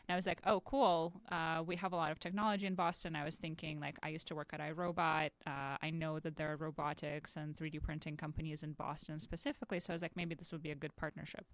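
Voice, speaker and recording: A woman's voice: sits low, level tone, fast talker, hardly any pauses, dry recording. A young woman from Ukraine, a guest on a podcast, talking casually with many fillers and small laughs. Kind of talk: casual interview talk.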